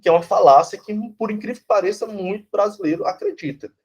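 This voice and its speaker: A man's voice: sits high, fast talker, a little mellow, husky. A Brazilian man, a philosophy professor, lecturing informally and bluntly, often checking in with "né?" and "tá certo?". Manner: conversational, tags lines with "né?" and "tá certo?"; blunt, casually swears.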